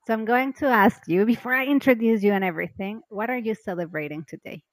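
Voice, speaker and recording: A woman's voice: fairly high pitch, fast, no echo. A woman hosting a casual podcast interview, bubbly and chatty, laughing often. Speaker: podcast host.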